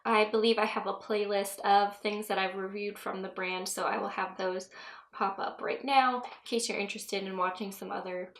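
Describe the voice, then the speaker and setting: A young woman chats through a makeup tutorial at home, giggling and drifting into asides. Her voice sits at an ordinary pitch for a woman and sounds a little stuffy from a cold. The room gives it a noticeable echo. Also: steady tone, moderate pace, a bit bright. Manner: chatty, giggly, rambling asides. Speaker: beauty vlogger. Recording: echoey room.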